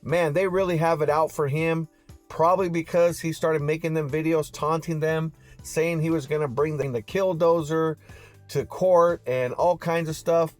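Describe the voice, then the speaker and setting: A man presents car news as a casual YouTube host. His voice sits a little high and his talk is slangy and chatty, addressed straight to viewers, with music playing behind him. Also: steady tone, normal speed, a little mellow. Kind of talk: casual vlog commentary.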